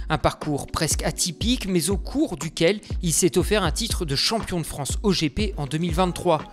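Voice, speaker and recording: A man narrating a motorsport documentary in a steady, scripted voice-over. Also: high-pitched. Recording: voice-over with music underneath.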